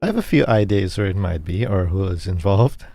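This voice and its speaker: A man streaming a game with casual, musing commentary. His voice is deep and mellow, and he speaks in a low-key, offhand way. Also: expressive, brisk, soft background music.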